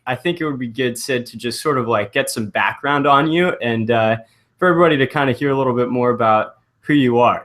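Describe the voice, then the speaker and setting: A man's voice: medium pitch, fairly bright tone. A man hosting a podcast, friendly and encouraging, laughing easily as he sets up the conversation. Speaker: podcast host. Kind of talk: casual interview chat.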